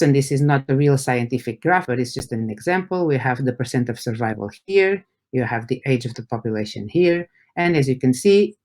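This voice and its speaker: A man from Portugal with a mid-pitched voice gives an academic lecture as a professor. He is a native Portuguese speaker. He explains step by step, often restarting words and putting questions to his listeners. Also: a little mellow. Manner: explanatory, halting with repeated words and rhetorical questions. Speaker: university professor and researcher giving a lecture.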